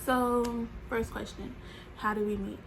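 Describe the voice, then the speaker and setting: A woman's voice: on the high side, fairly even intonation, a little slow, creaky. A woman, teasing her partner on a casual couple's vlog. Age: 30s. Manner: teasing, playful. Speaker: vlogger. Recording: noisy room.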